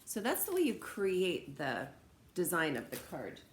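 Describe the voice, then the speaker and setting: An American woman with a slightly low voice talks to students like a teacher. Her delivery is conversational and encouraging, as in an informal lesson or demonstration. There is some faint noise behind her. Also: huge pitch swings, measured pace. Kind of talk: informal read-aloud and craft demonstration for students.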